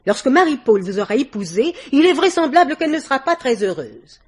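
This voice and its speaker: A woman's voice: high-pitched, very animated, fairly quick, husky, exaggerated voice. An actress playing a worldly, domineering older society woman in a vintage French radio drama, theatrical and effusive with little laughs. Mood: sly, insistent.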